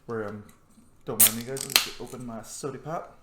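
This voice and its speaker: A man's voice: mid-range voice, steady tone, moderate pace, roomy sound, very noisy. A man hosting a playthrough with casual, informal commentary, chatty asides and some mild swearing. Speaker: gaming host.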